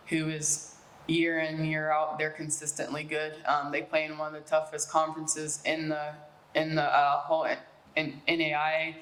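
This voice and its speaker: A woman basketball coach, answering a reporter's questions in a relaxed, rambling way full of um and you know. Her voice stays fairly flat and even, and it rings around an echoey room. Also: low voice. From West Virginia, US. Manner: relaxed, many fillers and restarts. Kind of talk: media day interview answers.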